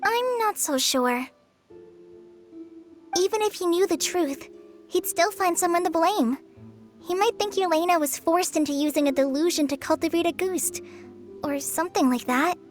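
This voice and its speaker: A perceptive young woman with a high voice who speaks in a reflective, calm way. Faint game music plays behind. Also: moderate pace, long pauses. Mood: thoughtful. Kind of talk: video game voice acting.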